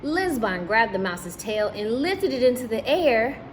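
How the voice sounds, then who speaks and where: Slow, expressive woman reading to children. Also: on the high side, a little hiss or hum. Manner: addresses young listeners as friends, asks questions and explains. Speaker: teacher reading stories to children. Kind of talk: children's read-aloud with asides to the listeners.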